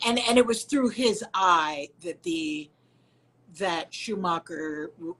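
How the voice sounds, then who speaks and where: A woman hosting a casual interview over a live video call, curious and quick to ask follow-up questions. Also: lowish voice, expressive, a bit bright, low-quality recording. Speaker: host, interviewer.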